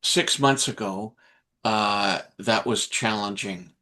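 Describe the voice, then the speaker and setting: A man's voice: lowish voice, slow, pushed and bright. A man hosting a casual interview, talking with many fillers and restarts. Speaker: interviewer host. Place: Vancouver Island.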